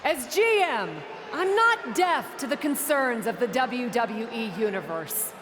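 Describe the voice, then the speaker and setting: A woman's voice: on the high side, animated, unhurried, background noise. An actress playing a brash, vain young woman who manages wrestling shows, with a smug, sardonic, theatrical delivery in a video game cutscene. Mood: resolute.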